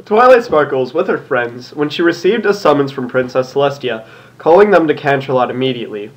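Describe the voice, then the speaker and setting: A man's voice: mid-range voice, animated, brisk, background noise. A man co-reading in casual comedic banter, sarcastic and reluctant, swearing as he grumbles. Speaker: guest co-reader.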